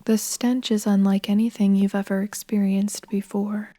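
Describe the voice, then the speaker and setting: A woman reading scripted narration for a horror game in a measured, sombre, matter-of-fact manner. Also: medium pitch, flat delivery, dark tone, no echo, clean studio sound.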